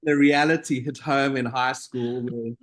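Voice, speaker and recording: A middle-aged man from South Africa, a nurse being interviewed, talking in a relaxed, meandering way with frequent pauses. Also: medium pitch. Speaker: guest, operating room nurse. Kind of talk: casual interview conversation.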